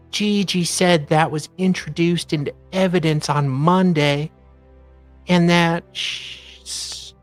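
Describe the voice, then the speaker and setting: Slow-paced woman reading aloud, faint music. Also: fairly low pitch. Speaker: livestream co-host. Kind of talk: reading messages aloud with casual reactions.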